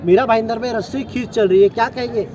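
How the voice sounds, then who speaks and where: A man's voice: extremely high pitch, fast. A man with an Indian accent, a reporter putting questions at a press gathering. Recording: dull, distant voice amid outdoor crowd.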